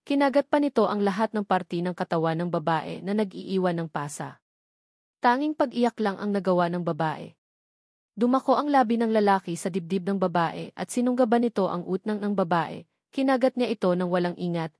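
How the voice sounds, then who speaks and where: A woman's voice: mid-range voice, a little mellow, dry recording, studio quality. A woman reading scripted fiction aloud as an audiobook narrator.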